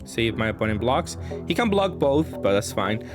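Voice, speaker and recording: A man's voice: medium pitch, big pitch swings, moderate pace. Man thinking aloud over music. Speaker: card game player presenting match commentary. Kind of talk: casual gameplay commentary.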